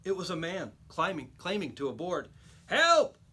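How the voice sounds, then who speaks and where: A man reading a children's storybook aloud like a teacher, with expressive, emphatic storytelling. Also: on the high side, fairly quick, slightly rough.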